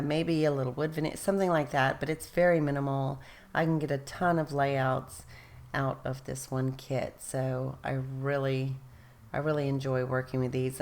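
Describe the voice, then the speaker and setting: A woman's voice: rumbling low, a little mellow. A woman host narrating her scrapbooking process in a casual, rambling way, losing her voice and straining it, often correcting herself. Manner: rambling, self-correcting, chatty.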